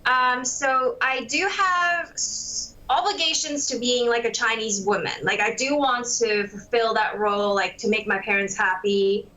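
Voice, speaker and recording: A woman's voice: on the high side, steady tone, a little slow, hardly any pauses, pushed and bright, low-quality recording. A woman in her late twenties, raised in Hong Kong and Canada, talking reflectively in a candid interview with many fillers. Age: 20s.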